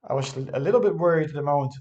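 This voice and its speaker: A man's voice: a little high, rapid-fire, darkish tone, highs cut off. A man who is not a native English speaker, casually thinking aloud as he reviews his own game, hesitant and often correcting himself.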